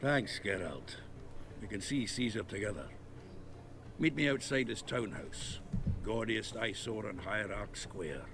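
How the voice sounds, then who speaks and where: An actor playing a gruff, blunt male dwarf card dealer with a gravelly voice, in a video game. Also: fairly low pitch, lively intonation, a little slow. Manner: blunt and coarse. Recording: game audio with background noise.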